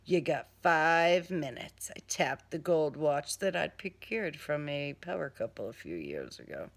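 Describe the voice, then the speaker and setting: A woman's voice: deep voice, huge pitch swings, moderate pace, creaky. A woman author reading her own first-person crime novel as scripted audiobook narration.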